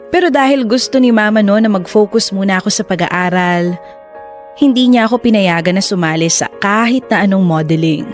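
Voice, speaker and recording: A man's voice: sits high, close to an everyday voice. A man playing a reflective twenty-eight-year-old man who narrates his love story in a Tagalog radio drama.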